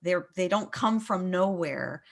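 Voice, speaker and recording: A woman's voice: on the low side, lively intonation, measured pace, gravelly. A woman leading a book discussion in a conversational way, often repeating words as she forms a point. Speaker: discussion leader at a book talk.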